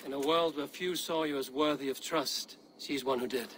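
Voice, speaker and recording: A man's voice: medium pitch, fairly even intonation, moderate pace, a bit bright, slight background noise, close to an everyday voice. A man playing a stoic Viking warrior leader in a video game, asking and answering in a terse, serious way. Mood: curious, calm. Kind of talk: video game character acting.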